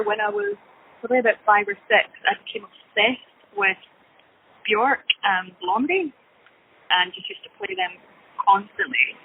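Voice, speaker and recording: A woman talks casually and personally as an interview guest. She sounds as if on a phone, with a thin band and faint train noise behind her. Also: medium pitch. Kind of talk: casual chat, personal recollection. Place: on a train while commuting. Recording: noisy phone-like line on a train.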